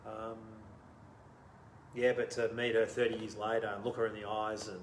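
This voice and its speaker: Australian man in his thirties, interview guest, lively storyteller, laughs a lot, roomy sound, faint background hum. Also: lowish voice, moderate pace. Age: 30s. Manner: animated, laughs often, trails off quietly. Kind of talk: casual storytelling conversation.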